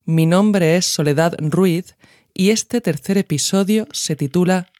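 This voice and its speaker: A woman hosting a podcast, reading a scripted essay in a measured, reflective way. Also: lowish voice, noisy background.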